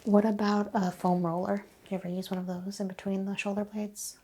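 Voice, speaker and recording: A woman playing an attentive, reassuring chiropractor in a soft-spoken ASMR roleplay, asking questions in a hushed, unhurried way. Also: medium pitch, level tone, slight background noise, natural voice. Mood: reassuring, methodical. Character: attentive, reassuring female chiropractor taking a history. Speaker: ASMR performer playing a clinician.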